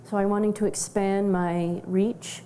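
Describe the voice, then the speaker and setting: A woman speaking at a middle pitch as a studio guest. She explains her health work steadily and informatively. A faint hum sits behind her voice. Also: level tone, a little slow, dark tone. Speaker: wellness practitioner and guest. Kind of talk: studio interview.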